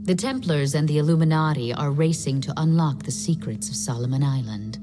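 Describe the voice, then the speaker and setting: An actress playing a calm, cryptic female leader of a chaos faction, soft and measured, slipping into whispers. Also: deep voice, close to an everyday voice. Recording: reverberant space with clear game music.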